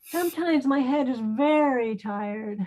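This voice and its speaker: Condemned queen acted by a woman, high voice, earnest, proud, devout, measured play-reading delivery. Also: mellow, poor audio. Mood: wistful, earnest.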